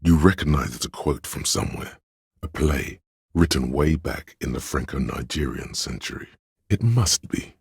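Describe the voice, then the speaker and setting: A pompous, arty voice inside the head, narrating a video game in second person. The voice is extremely low and very husky, with a creaky edge. The delivery is theatrical and ponderous, like scripted art criticism, recorded close and dry. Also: male voice, no room sound, exaggerated voice. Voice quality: gravelly, husky, very deep. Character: inner voice of artistic imagination, pretentious, intellectual, male.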